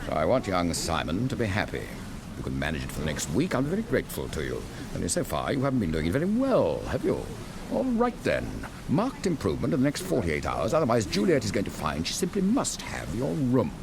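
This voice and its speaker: An actor in a radio drama playing a slangy, mocking bully who makes cool threats to a rival. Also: male voice, on the low side, huge pitch swings, fairly quick, gravelly, background noise, heavily acted. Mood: mocking menace. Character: menacing, slangy upper-class man bullying a rival.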